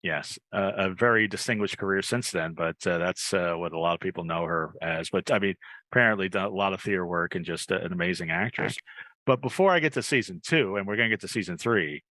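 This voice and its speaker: A man's voice: low voice, brisk, sharp tone, no room sound. An American man hosting a podcast, asking questions and chatting casually with a guest. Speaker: podcast host. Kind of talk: casual interview chat.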